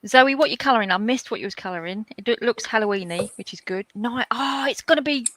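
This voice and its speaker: A woman's voice: fairly high pitch, lively intonation, fast talker, fairly bright tone, no echo. A woman hosting a live colouring call from home, chatty and upbeat, greeting everyone by name. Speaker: host of the live colour-along. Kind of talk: casual chat. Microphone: video call.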